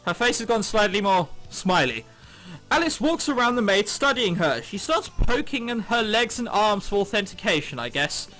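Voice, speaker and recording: A man's voice: high voice, animated, normal speed, bright, forceful tone, poor audio. A man reading a visual novel aloud, with sarcastic asides and swearing. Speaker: let's play host. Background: music.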